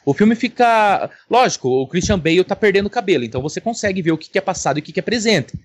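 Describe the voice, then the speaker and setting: A man's voice: sits high, lively intonation, quick pace. A man with a Brazilian accent chatting casually about films on a podcast, blunt and opinionated, swearing freely. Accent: Brazilian Portuguese. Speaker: podcast panelist. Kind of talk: casual film chat.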